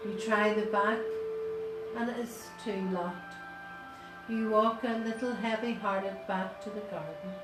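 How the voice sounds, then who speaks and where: A woman reading a guided meditation in a measured way, pausing between short phrases. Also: mid-range voice. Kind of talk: slow guided meditation narration. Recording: roomy echo, distant voice, loud music. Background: music.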